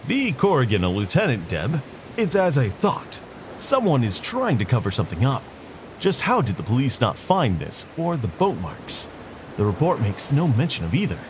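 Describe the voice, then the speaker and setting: A man's voice: mid-range voice, wildly swooping pitch, normal speed, background noise. A man playing an eccentric, chatty FBI agent in an audio drama, with formal, articulate and quirky speech. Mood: analytical, suspicious. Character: eccentric, chatty male FBI agent dictating to tape recorder.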